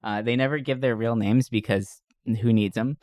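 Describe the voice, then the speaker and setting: A young man talks close to the mic in a very dry recording with no room sound. He hosts a podcast and retells movies casually and comically. His delivery is animated, full of slangy asides and swearing. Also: medium pitch, normal speed, dry recording. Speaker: podcast host. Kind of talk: casual comedic movie recap.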